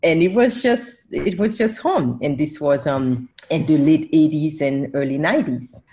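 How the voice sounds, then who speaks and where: A woman's voice: deep voice, a little slow, dark tone. A woman in her forties, born in Haiti, speaking as a professor guest on a casual podcast, who laughs often and corrects herself as she goes.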